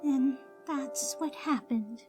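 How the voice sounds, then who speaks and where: Shy sweet teen cartoon girl, super high voice, slow and hesitant, music behind. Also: mellow. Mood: timid, upset. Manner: timid, hesitant. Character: shy, sad, soft-hearted teenage pony-turned-human girl.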